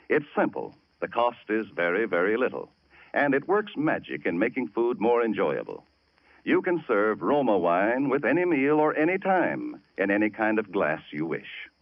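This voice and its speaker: A man reading a sponsor's message as a 1940s radio announcer, with deliberate, emphatic salesmanship. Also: on the low side, wildly swooping pitch, no room sound. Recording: dull old radio recording.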